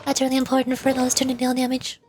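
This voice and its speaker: A woman with a notably high voice talks casually on a game livestream. Her manner is playful and exclamatory, and soft music plays behind her. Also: monotone, quick pace. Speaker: VTuber streamer, host. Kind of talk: casual livestream chat while gaming. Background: background music.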